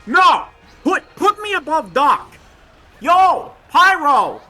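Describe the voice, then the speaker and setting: A performer voicing a brash, cocky young male mercenary who argues and boasts in an excitable way, in a fan story reading. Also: sits high, slow, heavily acted. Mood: cocky, indignant. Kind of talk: amateur voice acting in a live fan story reading. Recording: music behind the voice.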